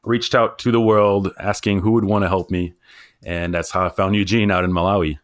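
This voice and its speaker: A man's voice: sits low, steady tone, brisk, no echo, clean studio sound. A man who co-founded a drone startup, talking loosely about his work with frequent "uh"s and restarts. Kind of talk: casual interview talk.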